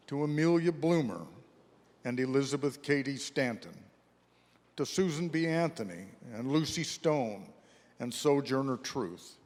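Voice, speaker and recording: A man's voice: mid-range voice, darkish tone. American male narrator in a reverberant hall. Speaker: narrator of a readers theater. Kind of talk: scripted narration of history.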